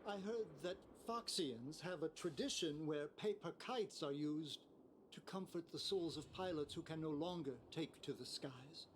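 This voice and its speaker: Earnest young envoy, higher-pitched male actor. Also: moderate pace, a little hiss or hum, close to an everyday voice. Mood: earnest, tender. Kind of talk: scripted video game acting.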